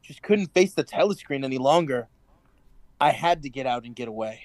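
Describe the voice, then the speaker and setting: An actor playing an anxious, obedient party worker with hidden doubts in a radio drama, earnest and dutiful. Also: male voice, medium pitch, expressive, brisk, a little mellow, close to an everyday voice. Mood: uneasy, defensive.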